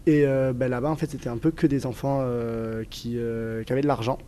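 A man in his twenties from southern France, speaking with a southern French accent as a guest in a casual interview, often pausing with fillers and restarting his sentences. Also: medium pitch, steady tone, warm tone, clear, resonant tone. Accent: southern French, Toulouse area. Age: 20s. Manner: hesitant, many fillers and restarts.

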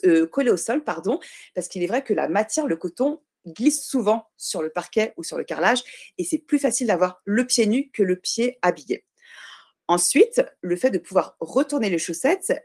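A woman's voice: medium pitch, darkish tone. A woman of Portuguese heritage, a guest on a podcast, explaining her product step by step and correcting herself as she goes. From Portuguese family. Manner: earnest, explanatory, self-correcting with frequent pauses. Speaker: guest, baby clothing brand founder. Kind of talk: podcast interview.

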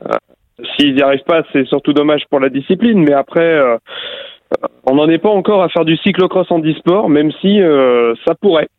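A man's voice: on the high side, moderate pace, no echo, narrow, tinny audio. A man co-hosting a casual interview, chatty and a little hesitant, with fillers. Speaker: co-host.

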